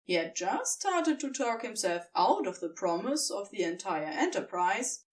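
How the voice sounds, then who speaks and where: Female audiobook narrator for fiction, very slow and expressive, dramatic emphatic pauses, slightly distant from mic. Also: medium pitch.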